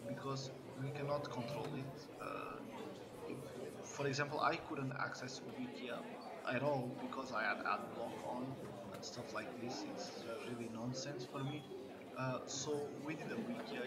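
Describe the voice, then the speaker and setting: A man, a game developer, chatting casually on a live stream in a halting way, with frequent restarts, through a quiet computer microphone. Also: mid-range voice. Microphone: computer microphone set quiet.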